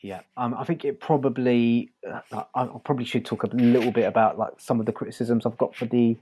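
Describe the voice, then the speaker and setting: Guy cohosting a review podcast, average pitch, kinda halting, repeats himself as he talks. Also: steady tone, warm tone, slight background noise. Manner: halting, repeats words as he goes. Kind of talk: casual review chat.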